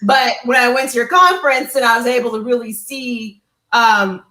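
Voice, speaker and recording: Higher-voiced woman in an echoey room. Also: normal speed, pushed and bright. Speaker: guest giving a testimonial. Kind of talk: casual heartfelt personal testimony. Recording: roomy, echoey sound.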